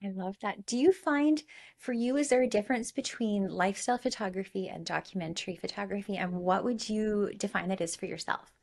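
A woman's voice: mid-range voice, a little fast, gravelly, darkish tone. A middle-aged woman hosting an upbeat podcast interview in an effusive, emphatic way, close on the mic. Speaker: show host. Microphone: close podcast mic on a boom arm.

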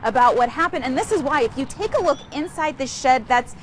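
High-voiced female TV news reporter. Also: lively intonation, fairly quick, slight background noise. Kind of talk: scripted news reporting, live and recorded.

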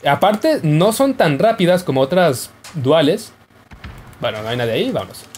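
A man's voice: fairly high pitch, sing-song, normal speed, a little mellow. A young man giving casual, joking commentary over his gameplay. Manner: playful, jokey, yelps and laughs while playing. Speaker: gaming YouTuber.

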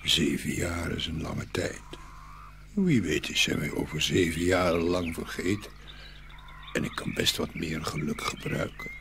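Deep, gravelly, hapless fairy-tale man. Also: a little slow, a bit bright, a little hiss or hum, exaggerated voice. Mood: pondering, resolved. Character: hapless, fearful man who bargains for riches. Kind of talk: dramatised audio fairy tale.

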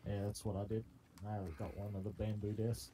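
A man's voice: fairly low pitch, level tone, fairly quick, warm tone, slight background noise. A man talking casually as a teammate during gameplay. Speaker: gaming teammate.

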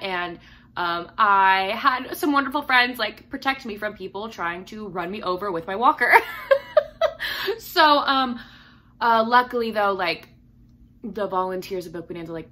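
A hoarse young woman in her twenties, chatting casually to camera about books at home, with lots of fillers and likes. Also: fairly low pitch, pushed and bright, a little hiss or hum. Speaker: book vlogger.

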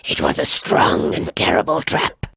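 Woman doing a lion king, deep breathy growl, slow and menacing, dry storybook reading. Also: big pitch swings, a bit bright, no echo, full character voice. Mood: irritated. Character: proud hungry male lion king, grumbling. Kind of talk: storybook read-aloud.

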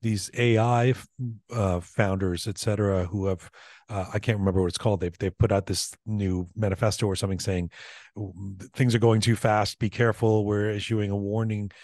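A middle-aged man hosts an interview from a radio studio, speaking into a close microphone. He asks his questions haltingly, often restarting as he searches for words. His voice sits somewhat low and sounds dry with no room around it. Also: level tone, darkish tone, dry recording. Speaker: podcast host. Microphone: studio microphone with headphones.

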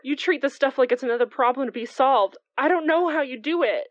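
A fairly high young woman's voice, recorded dry and a little dull, an actress in an audio drama. She is a chatty but defensive neighbor, conversational and open with her feelings. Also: fairly quick, dry recording, natural voice. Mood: hurt, afraid.

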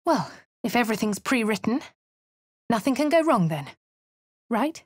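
An actress with a posh English accent voicing a composed female adventurer, reading game narration and voice lines in a crisp, dry manner. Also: on the high side, sing-song, normal speed, dry recording. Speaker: voice of the player character.

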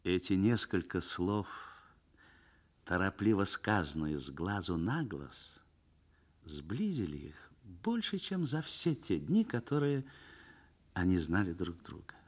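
A man narrating a literary radio drama in an expressive, lyrical storytelling manner. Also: low voice, slow.

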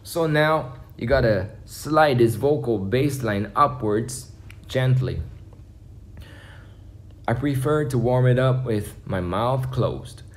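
A man's voice: medium pitch, slow pace. A man in his twenties giving a casual tutorial to camera, explaining things simply, step by step. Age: 20s. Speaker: beatbox instructor. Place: small home room indoors. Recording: faint background noise, home room.

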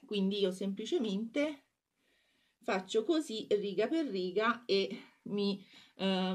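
A middle-aged woman chatting to her webcam at home, reviewing a product in a casual, hesitant way with many filler pauses. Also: mid-range voice. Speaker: beauty vlogger. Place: small indoor room at home.

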